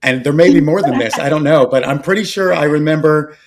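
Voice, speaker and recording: Rambling middle-aged American podcast host, a man. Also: on the high side. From Philadelphia area, US. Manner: rambling, halting, agreeable. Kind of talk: casual conversational interview.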